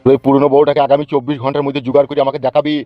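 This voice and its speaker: An actor voicing a bullying, foul-mouthed cartoon politician in rural West Bengal Bengali, hectoring and boastful. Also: male voice, mid-range voice, little pitch movement, racing, dry recording, dull audio, soft background music, close to an everyday voice. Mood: angry, threatening. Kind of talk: comic cartoon dubbing.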